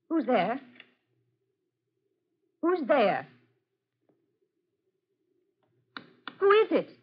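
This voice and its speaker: A woman's voice: high-pitched, husky, full character voice. An actress in a 1940s radio drama playing a cold, dismissive woman who jilts her suitor. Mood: alarmed. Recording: muffled old 1940s radio recording.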